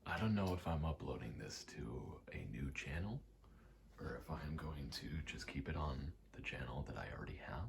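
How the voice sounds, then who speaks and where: Man, extremely low voice, gravelly creak, breathy half-whisper, casual sweary ASMR ramble, noisy vent in the background. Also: lively intonation, normal speed. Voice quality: breathy, often dropping into a whisper. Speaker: video creator rambling to camera. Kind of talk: soft-spoken ASMR ramble. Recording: clear background noise, rattling vent. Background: rattling vent noise.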